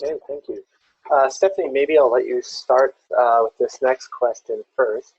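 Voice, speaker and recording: A man's voice: mid-range voice, moderate pace, darkish tone, breathy edge, dry recording. A man moderating a webinar, briefly and politely reading out viewer questions. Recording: dull lo-fi webinar audio.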